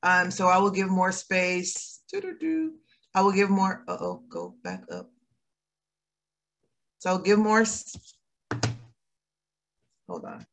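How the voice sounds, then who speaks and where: A woman's voice: lowish voice, sing-song, slow, pauses often, a bit bright. A woman facilitating an online workshop over a video call, affirming speakers and reading comments from the chat. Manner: affirming, reads from chat, hums while scrolling.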